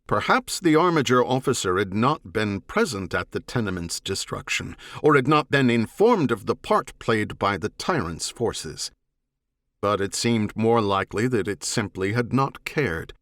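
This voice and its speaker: A man narrating a science fiction novel as an audiobook, in a measured, serious, dramatic manner. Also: fairly low pitch, very animated, fairly bright tone, no room sound, clean studio sound.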